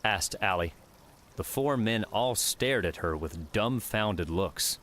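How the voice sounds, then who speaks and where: A man's voice: lowish voice, expressive, takes it slowly, fairly bright tone, a little hiss or hum. A man reading scripted fiction narration as an audiobook narrator.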